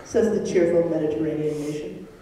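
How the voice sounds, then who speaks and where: Mellow young woman narrating in echoey hall. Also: deep voice, a little fast. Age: young adult. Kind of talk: amateur dramatic reading of comic fanfiction. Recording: echoey hall, distant mic, faint noise.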